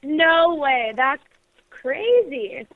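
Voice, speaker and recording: A Canadian woman from Winnipeg co-hosts a radio show in a bubbly, joking way. Her voice is high and bright. She sounds tinny and dry, as if coming over a phone line. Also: big pitch swings, drawn-out words, no room sound. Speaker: radio co-host. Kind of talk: casual radio chat.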